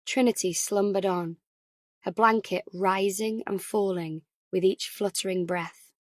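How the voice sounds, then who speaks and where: A woman's voice: mid-range voice, no room sound, studio quality. A woman reading scripted thriller narration as an audiobook narrator.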